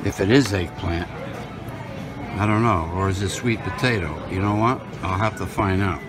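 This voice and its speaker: A man's voice: low-pitched, moderate pace. A man filming himself at a buffet restaurant, musing aloud about the food with many fillers, recorded on a handheld phone. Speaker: food vlogger. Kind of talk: casual narration while filming. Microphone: phone camera held while walking.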